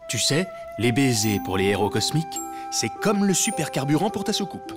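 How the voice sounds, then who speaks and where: A man's voice: mid-range voice, big pitch swings, normal speed, lightly acted. A man voicing an affectionate but weary father with a coaxing manner in a children's cartoon. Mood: reassuring. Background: music.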